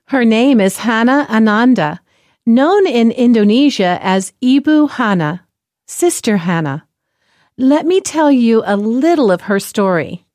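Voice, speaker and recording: A woman narrating a story in a gentle, clear, measured reading style. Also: a little high, animated, dry recording, clean studio sound. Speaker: presenter.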